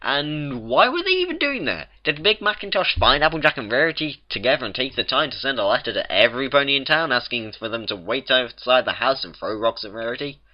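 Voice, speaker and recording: A man voicing a fussy, anxious female unicorn scholar who keeps objecting in rapid exasperation. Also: mid-range voice, sing-song, lo-fi sound, pitched above the performer's own voice, natural voice. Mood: exasperated.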